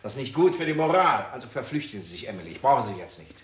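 An actor playing a bossy, shrewd Hollywood studio boss with a blustering, probing manner in a 1960s German radio crime drama. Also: male voice, medium pitch, sing-song, rapid-fire, natural voice. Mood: brisk, bossy. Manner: blustering, probing, jovially domineering.

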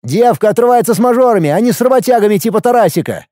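A man voicing an anxious, exasperated younger brother, a sharp-tongued medical student who scolds in an audiobook story. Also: high voice, lively intonation, moderate pace, no room sound, studio quality, voice pushed up. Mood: scornful, heated. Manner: agitated, scolding.

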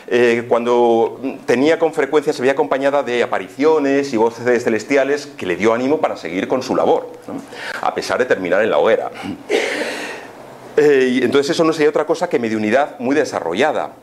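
A man's voice: mid-range voice, a little hiss or hum. A man with an accent from Spain gives an informal explanatory lecture, speaking didactically with rhetorical questions and little check-in tags. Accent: Spanish, from Spain. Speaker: lecturer on spiritism.

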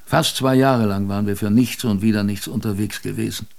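An actor playing an old grandfather and polar expedition survivor, telling his tale tenderly and proudly in a radio drama, with reflective pauses. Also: lowish voice, fairly even intonation, vocal fry. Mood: moved, proud. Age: older.

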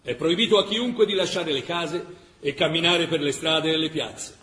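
A male actor playing a grave chronicler of a besieged wartime city in a radio drama, measured and emphatic with dramatic pauses. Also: fairly high pitch, pushed and bright. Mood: grave, tense. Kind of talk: dramatic scripted documentary narration. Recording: roomy, echoing radio theatre sound.

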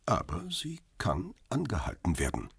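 Weary paparazzo narrating a crime radio play, very raspy low voice, close and dry. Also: male voice, huge pitch swings, moderate pace, no room sound, heavily acted. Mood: guarded. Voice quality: husky, rough. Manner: reflective, terse first-person narration. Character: wary, world-weary male paparazzo telling his own story.

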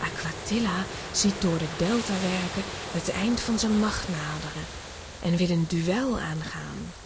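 A woman voicing a gentle young mermaid who speaks earnestly, like a storyteller, in a children's audio drama. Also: on the low side, noisy background. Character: gentle, solemn young female mermaid, last of her kind.

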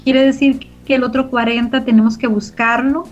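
High-voiced woman interviewee, dull recording. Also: fairly even intonation, moderate pace. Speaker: interview guest from a community media organization. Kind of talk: conversational interview, explaining her work. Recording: dull lo-fi audio, music behind.

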